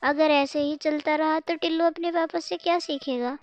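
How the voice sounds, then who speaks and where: A woman as a high-pitched nagging crow wife. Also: fairly even intonation, moderate pace, close to an everyday voice. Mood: pleading, fretful. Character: nagging, exasperated crow wife and other female birds. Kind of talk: Hindi cartoon voice acting for children.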